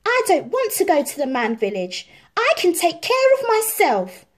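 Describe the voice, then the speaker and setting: Plucky storybook boy played by a woman, young, defiant and eager, pitched high with bouncy, emphatic swings. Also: huge pitch swings, normal speed, exaggerated voice.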